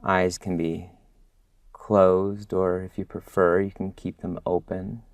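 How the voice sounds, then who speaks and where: A man guiding a body scan meditation as a mindfulness instructor, speaking gently and soothingly with long pauses. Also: deep voice, level tone, dry recording, highs cut off.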